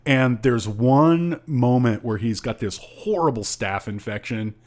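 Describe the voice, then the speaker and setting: A man's voice: mid-range voice, animated, unhurried, a little mellow. A man co-hosting a podcast, giving a frank and opinionated book review in informal conversation. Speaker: podcast co-host.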